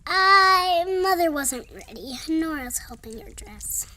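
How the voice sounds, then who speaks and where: A woman's voice: very high-pitched, expressive, slow pace, sharp tone, full character voice. A woman playing a polite, timid young boy of a genteel family in a period radio drama. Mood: shy, polite. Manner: timid, well-mannered.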